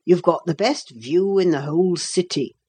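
A woman voicing a polite, cordial, self-important gentleman jailer with literary airs in a storybook. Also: lowish voice, normal speed, husky. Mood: chatty, self-satisfied.

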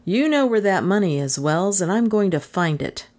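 A woman's voice: mid-range voice, expressive, moderate pace, lightly acted. A woman reading a sneering hard-nosed detective. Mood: hostile. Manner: jeering, forceful. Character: fierce sneering male detective.

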